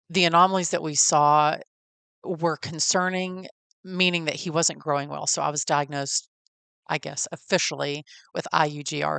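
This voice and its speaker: A woman's voice: low-pitched, creaky, fairly bright tone, smooth, dry recording. A woman in her forties with a Texan accent, hosting a podcast and telling her own story in a friendly, folksy, heartfelt way. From Texas. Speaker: podcast host. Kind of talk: personal storytelling.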